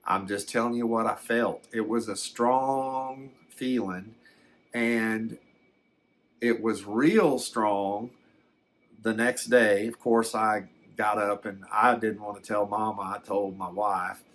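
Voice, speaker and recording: Guy playing older folksy man telling his alien abduction story asmr style, real slow and halting, kinda low, gentle and peaceful. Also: fairly even intonation. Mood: reflective, halting. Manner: hesitant, halting, reflective, folksy. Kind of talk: first-person ASMR storytelling.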